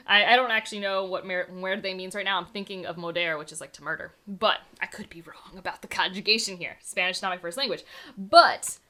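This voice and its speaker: Bright, chatty woman book vlogger. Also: medium pitch. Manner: enthusiastic, chatty, many "like" fillers. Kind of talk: casual chat to camera. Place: home room surrounded by books.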